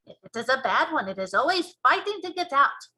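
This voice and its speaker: A woman voicing a gentle, solemn old male giant who talks in quirky mangled grammar in a children's storybook. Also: high-pitched, sing-song, brisk, exaggerated voice. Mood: earnest, explaining.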